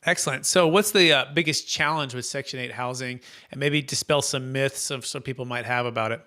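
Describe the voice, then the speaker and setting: Male podcast host, quick and upbeat, crisp bright tone, polished read. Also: mid-range voice. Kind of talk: scripted intro then interview questions.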